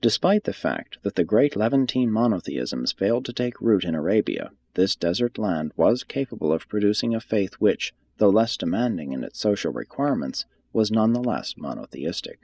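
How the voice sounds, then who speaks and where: Low, slightly husky male audiobook narrator. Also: sing-song, moderate pace, hardly any pauses, darkish tone. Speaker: reader of a religious text.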